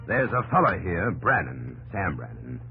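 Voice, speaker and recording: A man's voice: deep voice, big pitch swings, pushed and bright, slightly rough, faint music behind, heavily acted, low-quality recording. Brusque, jumpy frontier father. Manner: brusque, hurried. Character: gold-rush father, gruff and jumpy. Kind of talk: 1950s radio drama acting.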